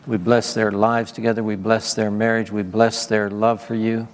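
A man's voice: fairly low pitch, level tone, normal speed. A man praying fervently over a church congregation as visiting preacher, repeating his words. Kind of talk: prayer and sermon. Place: church service. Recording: reverberant church hall.

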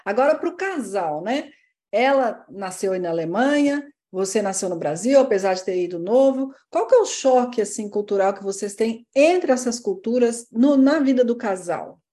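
A woman hosts a podcast interview with friendly, encouraging questions. Her voice is slightly high and mellow in tone. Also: expressive, moderate pace. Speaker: podcast host.

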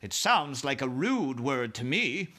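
A man's voice: mid-range voice, very animated, measured pace, sharp tone, heavily acted. A man voicing a dignified, gracious Queen from a children's storybook, speaking in a polite, formal, composed manner. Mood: puzzled. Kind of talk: children's storybook read aloud.

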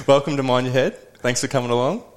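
A man's voice: medium pitch, animated, normal speed. A man hosting a podcast interview, earnest and encouraging, with short affirming replies. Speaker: podcast host.

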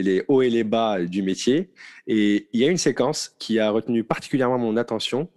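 Low-voiced male podcast host. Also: a little mellow. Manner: measured, sets up long questions with fillers. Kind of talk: interview questions.

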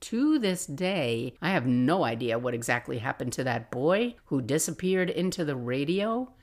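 An older woman hosting a podcast, telling personal stories in a casual, reflective, confiding and expressive way. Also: sits low, normal speed. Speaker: podcast host.

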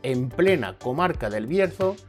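A man's voice: medium pitch, animated, unhurried, soft background music. A man from Andalusia hosting a podcast interview in a friendly, chatty way, often restarting his sentences. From Andalusia, Spain (Jaén). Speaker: podcast host.

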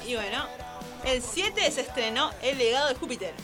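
A woman's voice: high voice, animated, normal speed. A young adult woman with an Argentine accent, nervous and easily flustered, presenting an informal radio column on film and series and correcting herself as she goes. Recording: music playing behind the voice. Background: music.

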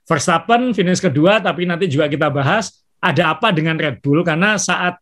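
A man co-hosting a casual podcast, giving a measured race analysis with frequent "eh" fillers. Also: on the high side, a bit bright. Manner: measured, fills pauses with "eh". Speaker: podcast co-host, racing analyst.

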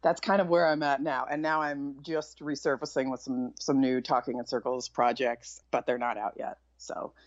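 Woman guest with a low voice, low-key and candid, casual talk; dull lo-fi sound, very dry. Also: little pitch movement, moderate pace, hardly any pauses, no echo. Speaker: podcast guest, chef and author. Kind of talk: casual interview chat.

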